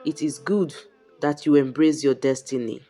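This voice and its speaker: A woman storyteller playing a watchful, suspicious African king in a folktale, measured and quietly menacing. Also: deep voice. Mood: quietly stern.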